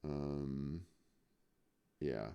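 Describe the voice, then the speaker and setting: A man from Serbia, talking through chess moves as a student. His voice is very deep with a gravelly fry. He speaks haltingly and often repeats words. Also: warm tone, highs cut off. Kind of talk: casual chat.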